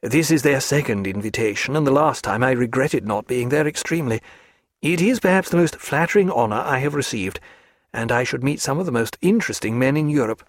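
A male narrator voicing a reserved, earnest naturalist who speaks in a measured, formal way. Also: mid-range voice, lively intonation, dry recording, studio quality, natural voice.

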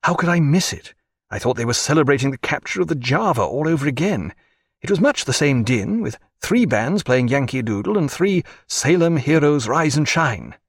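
A man's voice: on the high side, big pitch swings, moderate pace, husky, dry recording, clean studio sound, heavily acted. A man voicing a genial, attentive, mildly fussy friend in a sea novel, conversational and old-fashioned. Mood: puzzled.

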